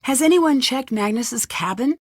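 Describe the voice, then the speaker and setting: A woman's voice: sits high, lively intonation, unhurried, a little mellow, no echo, studio quality. An actress playing a friendly, inquisitive young woman detective in a mystery video game, politely asking questions. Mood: curious, polite. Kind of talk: scripted video game dialogue.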